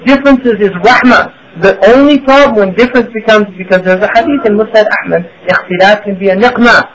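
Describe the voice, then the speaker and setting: A man's voice: high voice, brisk, fairly bright tone, poor audio. A man giving a religious lecture to an audience, asking rhetorical questions and checking in with "right?". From United States. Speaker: Islamic teacher.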